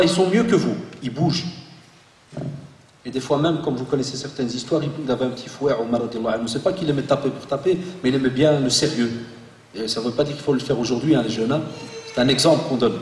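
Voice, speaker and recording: A man in his forties, born in Belgium, giving a lively religious talk to an audience and joking with the crowd. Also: fairly high pitch. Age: 40s. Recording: echoey hall, voice from far off.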